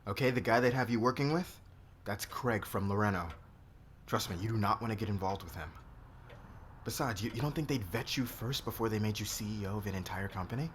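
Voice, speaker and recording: A man playing a domineering, bitter boss in an ASMR roleplay, speaking deliberately with intimate menace. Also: on the low side, natural voice. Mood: stern, scornful. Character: domineering, bitter married male boss being blackmailed and seduced. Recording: clear background noise.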